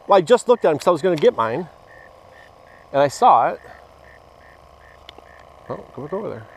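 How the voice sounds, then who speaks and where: A man chats casually in an easygoing, halting way while fishing outdoors. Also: a little high, sing-song. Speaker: angler filming a fishing outing. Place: outdoors on a grassy pond bank. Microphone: body-worn action camera near the speaker. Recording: noisy outdoor action camera, nature ambience.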